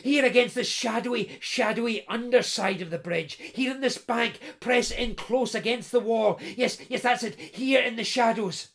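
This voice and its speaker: A woman's voice: a little high, normal speed, few pauses, close to an everyday voice. An anxious young runaway bride, voiced by a woman reading a story aloud. She speaks urgently and with worry. It is a storybook heroine on the run. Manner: urgent, worried.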